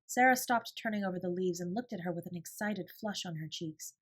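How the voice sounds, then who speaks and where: A woman's voice: lowish voice, fairly quick. A woman reading a children's novel as an audiobook narrator, in scripted storytelling style.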